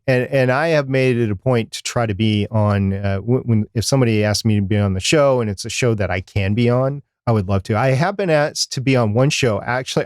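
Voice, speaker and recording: A man's voice: medium pitch, darkish tone, no echo. Chatty man in a dry-sounding space. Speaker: podcast co-host. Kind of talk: casual chat about podcasting.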